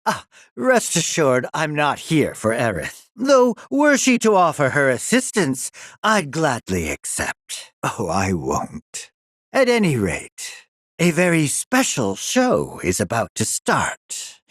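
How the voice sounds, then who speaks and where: An actor playing a pompous middle-aged mad scientist in a video game, sinister and gloating, drawling his lines with relish in a raspy voice. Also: a little high, wildly swooping pitch, slow pace, a bit bright, no echo, studio quality, full character voice. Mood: sly, insinuating. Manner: pompous, drawling, relishing menace with sly chuckles. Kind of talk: theatrical video game villain acting.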